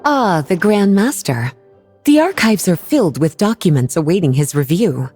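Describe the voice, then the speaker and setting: Mellow, lilting, wry librarian. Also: female voice, lowish voice, sing-song, moderate pace, faint music behind. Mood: wry, unhurried. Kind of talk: game voice acting.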